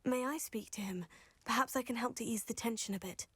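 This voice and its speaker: A woman playing a calm, diplomatic student. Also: on the high side, a little fast, slightly rough. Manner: calm, reasoned.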